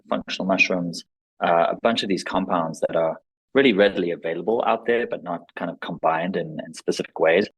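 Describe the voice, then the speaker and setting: A man in his forties, from Israel and South Africa, talks about his company in an earnest, enthusiastic way. His voice sits low and carries some husk. He restarts phrases now and then as he thinks aloud. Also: breathy edge, rough recording. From born in Israel, grew up in South Africa. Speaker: company co-founder and CEO, guest. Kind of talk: conversational podcast interview.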